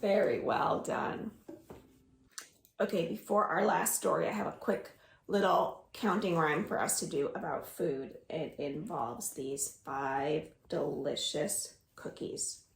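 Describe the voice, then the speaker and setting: A young woman leading a children's storytime from home, reading stories and singing rhymes in a lively sing-song way. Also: deep voice, takes it slowly, creaky, reverberant, slight background noise. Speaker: children's librarian.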